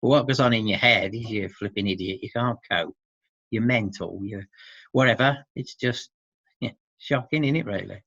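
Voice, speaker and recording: A man's voice: on the low side, normal speed, a bit bright. A man answering interview questions about his work in an informal, thoughtful, hesitant way. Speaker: interviewee, support service worker for dads. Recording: muffled, roomy lo-fi sound.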